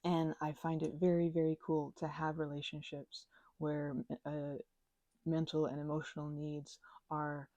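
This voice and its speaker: A woman chatting gently in a meandering ASMR ramble, full of fillers and restarts, speaking affectionately to her listeners. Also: sits low, little pitch movement, measured pace, no echo. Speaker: ASMR creator. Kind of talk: soft-spoken ASMR ramble while crafting.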